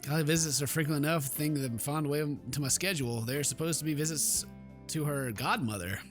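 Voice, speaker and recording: A man's voice: on the high side, brisk, soft background music. A man hosting a let's play, giving playful, exclamatory commentary and dramatic read-alouds, close to the mic. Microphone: close microphone.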